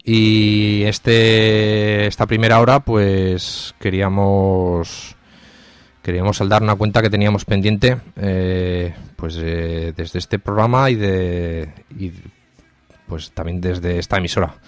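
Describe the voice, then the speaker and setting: A man with a Spanish accent from Spain hosts a live radio show. His voice sits fairly low and sounds close and dry, with little room around it. He talks informally and a bit hesitantly, like someone presenting on a community station. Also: fairly even intonation, slow pace, smooth, no echo. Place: radio studio.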